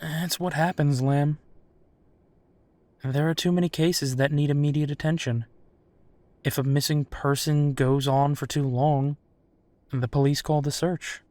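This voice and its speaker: Man acting as unhurried, protective male werewolf detective. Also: fairly high pitch, steady tone, darkish tone, no room sound, close to an everyday voice. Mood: determined, serious. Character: male werewolf private investigator, protective, teasing, dogged, affectionate. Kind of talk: roleplay audio drama, intimate one-sided dialogue.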